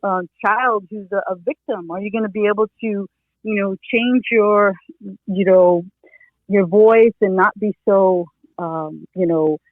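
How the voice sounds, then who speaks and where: A woman's voice: medium pitch, steady tone, unhurried, no echo. Woman on a tinny phone line. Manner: rambling, many "you know" fillers and restarts. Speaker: retired police officer, interview consultant. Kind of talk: casual advice in an interview.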